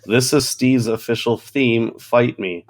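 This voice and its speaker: A man's voice: mid-range voice, takes it slowly. A man hosting a gaming podcast, ranting casually in an exasperated, theatrical, profanity-heavy way.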